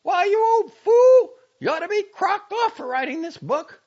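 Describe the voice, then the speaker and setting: A man's voice: mid-range voice, huge pitch swings, slow pace, vocal fry, darkish tone, slightly rough, highs cut off, pitched above the performer's own voice, full character voice. A man voicing a furious, fiery young woman in a storybook, scolding scornfully in slangy bursts. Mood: furious, mocking.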